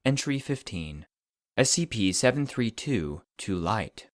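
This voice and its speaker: A man's voice: fairly low pitch, very animated, unhurried, no room sound. A man reading scripted fictional case files as a narrator, in a formal, clinical report manner.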